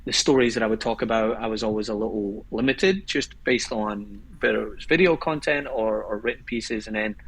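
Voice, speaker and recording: A man from Glasgow with a Scottish accent, chatting as a guest about his career in a relaxed, rambling way. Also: fairly low pitch, brisk, hardly any pauses, slightly rough, rough recording. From Scotland. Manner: relaxed, rambling, with restarts and laughs. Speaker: guest filmmaker. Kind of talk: casual podcast chat.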